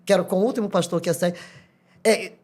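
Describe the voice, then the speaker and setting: Older female pastor, Brazilian Portuguese accent, animated storyteller who breaks into laughter and acts out the voices in her stories. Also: on the low side, quick pace. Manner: animated, laughs often, acts out quoted dialogue. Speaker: pastor, podcast guest. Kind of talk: testimony storytelling.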